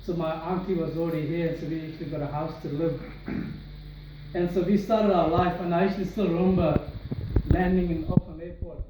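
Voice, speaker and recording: Man, from Sri Lanka, personal testimony, earnest with restarts, fairly high voice, faint room noise. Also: steady tone, a little fast. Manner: earnest, many restarts and fillers. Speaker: speaker sharing his testimony. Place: large echoey room with an audience. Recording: echoey hall, voice from far off.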